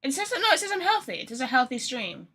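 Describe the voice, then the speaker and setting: A woman's voice: high-pitched, sing-song, fast talker, fairly bright tone. A woman game streamer chatting casually to her viewers, rambling and poking fun at herself. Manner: chatty, rambling, self-deprecating. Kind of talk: casual live-stream chat.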